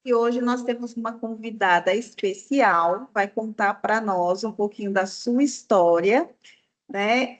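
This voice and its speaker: A woman hosts a podcast interview with a somewhat high voice. She talks in a welcoming, conversational way and asks open questions. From São Paulo, Brazil. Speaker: podcast host.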